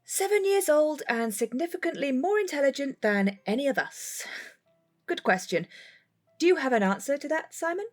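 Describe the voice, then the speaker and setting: A woman neighbour in an audio drama, sociable and plain-spoken. Her voice is a touch high, with music behind it. She is playful and likes to tease. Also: animated, moderate pace. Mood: teasing, upbeat. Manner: blunt, playful. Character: frank, teasing, sociable neighbour woman, married.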